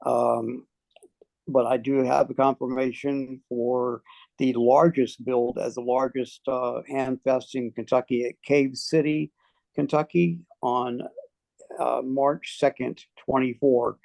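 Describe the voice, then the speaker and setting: Gravelly guy, mid pitch, halting casual update on a group call. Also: level tone, a little mellow, no room sound, low-quality recording. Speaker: club presenter. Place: online video call.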